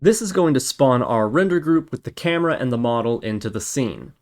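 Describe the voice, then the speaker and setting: A man's voice: mid-range voice, very animated, fairly quick. A man hosting a programming tutorial, explaining each step methodically and thinking aloud as he works. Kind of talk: screen-recorded coding tutorial, explaining step by step.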